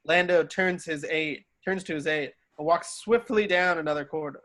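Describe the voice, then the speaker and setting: A man's voice: a little high, moderate pace, a bit bright. A man narrating screenplay stage directions in an amateur table read, sometimes stumbling and rereading. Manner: stumbles over words and rereads.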